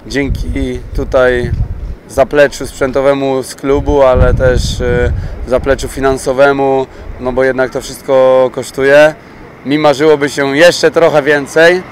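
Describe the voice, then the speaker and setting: A man in his thirties from Poland, a youth sports coach giving plain-spoken, reflective interview answers. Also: mid-range voice. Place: open-air speedway stadium pit area. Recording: outdoor pit-lane noise behind voice.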